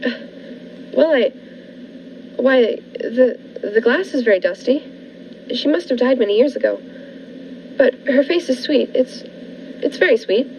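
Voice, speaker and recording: A woman's voice: sits high, animated, measured pace, long pauses, a little mellow. A woman playing a naive, nervous newlywed bride in an old-fashioned radio thriller, speaking in genteel, dramatic, hesitant phrases. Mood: nervous, frightened. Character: naive, fluttery newlywed bride turning anxious and pleading. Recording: muffled lo-fi radio play, noisy background.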